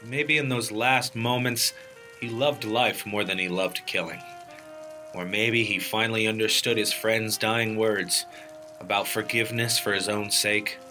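A man's voice: on the low side, fairly even intonation, a little slow, sharp tone, faint music behind. A man playing a hapless, earnest noir detective in a comedic radio play, dramatic and slightly pompous. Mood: brooding narration.

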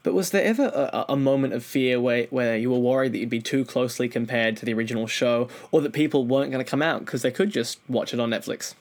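A man's voice: medium pitch, quick pace, hardly any pauses. A man hosting an interview, asking prepared questions in a conversational way. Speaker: interviewer, show host.

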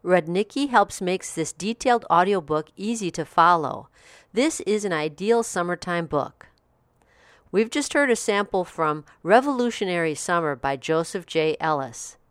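A woman's voice: fairly low pitch, animated, normal speed, clear, resonant tone, no echo. A woman hosting a review show, delivering scripted narration. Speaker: host of audiobook review podcast.

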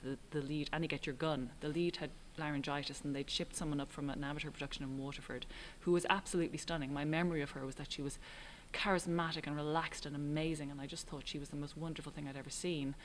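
A middle-aged Irish woman, a writer and director, answering interview questions in a thoughtful, halting way with frequent ums. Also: low voice, steady tone, slight background noise. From Ireland. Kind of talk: relaxed reflective interview answers.

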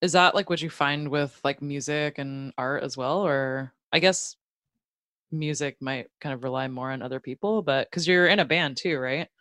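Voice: mid-range voice, normal speed, fairly bright tone, dry recording, studio quality. An interviewer asking friendly, probing questions in a casual way, with frequent fillers. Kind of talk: casual conversation.

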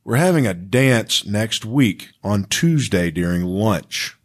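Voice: low voice, animated, takes it slowly, noisy background. A male performer playing a school principal addressing pupils in an amateur radio play. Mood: official.

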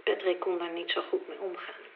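A woman's voice: fairly low pitch, little pitch movement, a little fast, a little hiss or hum, lightly acted. Woman acting a worried sister, thin phone-like sound. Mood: reflective. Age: young adult. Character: worried young woman, loyal sister of missing man. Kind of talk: audio drama, recorded interview. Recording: roomy, thin phone-like old tape.

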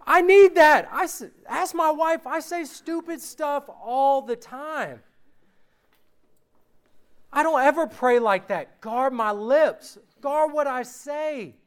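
A man preaching an informal sermon, reading scripture aloud slowly and conversationally, his voice worn from recent illness. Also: extremely high pitch. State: recovering from illness, voice going.

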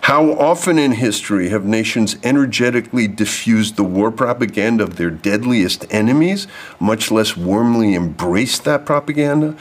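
A man delivering a prepared lecture to an audience, reading formal text and adding off-script asides. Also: lowish voice. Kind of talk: prepared speech read to an audience.

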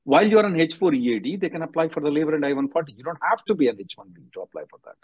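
Blunt male attorney, slightly husky. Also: on the high side, lively intonation, racing, dull audio. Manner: blunt, directive, repeats himself for emphasis. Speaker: attorney hosting an advice call-in. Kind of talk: conference call Q&A, quick advice.

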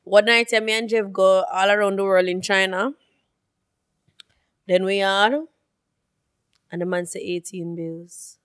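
Animated Jamaican woman telling stories. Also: mid-range voice, unhurried, pauses often, clean tone. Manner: animated storytelling, swears freely. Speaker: podcast co-host. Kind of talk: casual chat.

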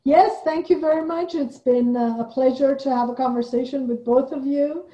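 A woman's voice: high-pitched, moderate pace, warm tone. A woman from Iran speaking casually as a podcast guest, on a dull, roomy recording. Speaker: guest, playwright and theatre director. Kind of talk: casual interview chat.

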